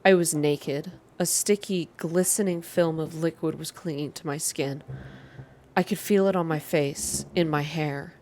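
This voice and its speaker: Low-pitched woman, ASMR reader, noisy background. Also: fairly even intonation, unhurried, darkish tone. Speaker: video game reader and channel host. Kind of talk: ASMR narrated reading and casual updates to viewers.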